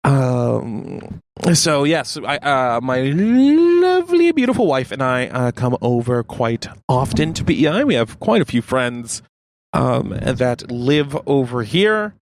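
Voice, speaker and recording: A man's voice: mid-range voice, wildly swooping pitch, slight background noise. Rambling man chatting in a car. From Canada. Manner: rambling, halting with many fillers. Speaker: radio co-host. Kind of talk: casual chat. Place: inside a moving car.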